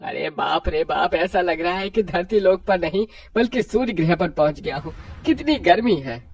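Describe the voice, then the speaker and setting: A man voicing a poor, exasperated village husband who exclaims and complains, in an animated moral story. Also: high voice, normal speed, vocal fry, recorded from a distance.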